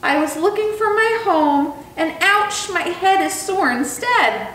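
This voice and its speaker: A woman's voice: very high voice, animated, unhurried, a bit bright, far from the mic, pitched above the performer's own voice, heavily acted. A woman voicing a lost little baby turtle in a children's storybook, plaintive and politely insistent. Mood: upset. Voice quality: tiny voice. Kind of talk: expressive children's storybook character reading. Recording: roomy sound with faint noise.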